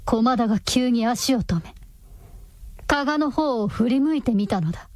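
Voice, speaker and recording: A woman's voice: a little high, expressive, moderate pace, a little mellow, dry recording. A woman narrator reading a radio drama in a scripted, dramatic storytelling style.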